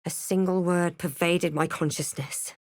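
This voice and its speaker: A woman playing a snarky, wary female adventurer in a fantasy video game, with a crisp, terse and dramatic delivery. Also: fairly low pitch, steady tone, unhurried, no echo, studio quality, natural voice. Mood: brooding narration. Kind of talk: fantasy video game lines, short remarks and narrated thoughts.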